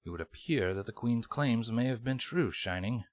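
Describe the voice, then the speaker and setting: A man voicing a formal, composed bat-pony officer with a measured, polite delivery in a storybook reading. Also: mid-range voice, lively intonation, no room sound, studio quality, natural voice. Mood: composed, courteous. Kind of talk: audiobook character voice in fan fiction. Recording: music playing behind the voice.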